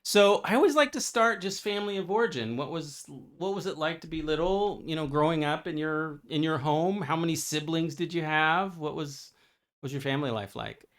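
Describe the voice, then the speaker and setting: A man's voice: fairly high pitch, moderate pace, no room sound, studio quality. Chatty man hosting a podcast. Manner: chatty, rambling, restarts sentences. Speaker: podcast host and coach. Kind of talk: casual interview.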